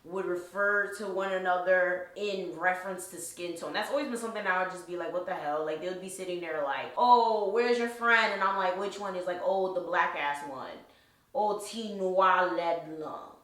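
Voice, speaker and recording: Blunt, animated young woman, bright voice. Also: fairly low pitch, moderate pace. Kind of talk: casual chat. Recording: echoey room.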